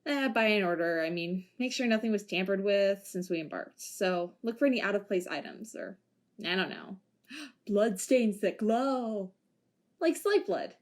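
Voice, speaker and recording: A woman's voice: mid-range voice, expressive, a little slow, close to an everyday voice. A woman in an amateur audio drama playing a wry ship security guard who makes dry, playful quips.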